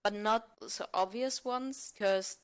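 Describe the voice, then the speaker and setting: A woman's voice: medium pitch, takes it slowly, smooth. A woman with a mid-range voice talking as an interview guest. She is informal and casual, piecing her answers together as she speaks. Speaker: podcast guest, amateur audio play actor.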